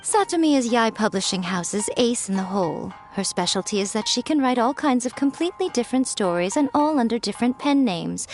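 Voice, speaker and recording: A woman's voice: a little high, lively intonation, brisk, few pauses, a little mellow, faint music behind. An actress voicing a poised, teasing fox-spirit woman who runs a publishing house in a video game. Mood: gracious. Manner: poised and teasing, playfully secretive.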